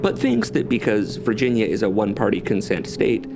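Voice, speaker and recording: A man's voice: on the low side, sing-song, fairly quick. A man narrating a commentary video, played back with music under his voice. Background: loud music.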